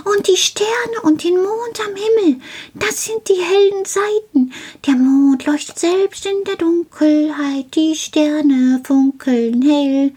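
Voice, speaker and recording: A woman voicing a small, excitable male gnome who is eager, childlike and likes to repeat words in a storybook tale. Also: sits high, takes it slowly, creaky, breathy edge, voice pushed up, full character voice. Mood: excited.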